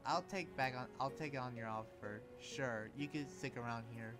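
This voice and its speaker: A man's voice: medium pitch, faint music behind, close to an everyday voice. A man playing an earnest, sympathetic demon slayer, speaking hesitantly and reassuringly in an improvised anime roleplay. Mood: kind, hesitant. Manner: hesitant, halting, gently reassuring. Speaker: Minecraft roleplay player.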